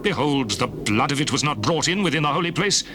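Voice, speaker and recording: A man playing a stern, solemn biblical prophet, speaking gravely and with command in a dramatized scripture reading. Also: fairly high pitch, expressive, a little fast, background noise, lightly acted. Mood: angry.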